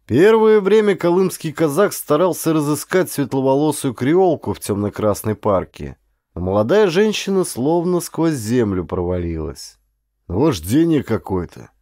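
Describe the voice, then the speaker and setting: A man narrating a historical adventure novel as an actor would, in an expressive storyteller's manner with colloquial asides and drawn-out phrases. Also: on the high side, no room sound, clean studio sound.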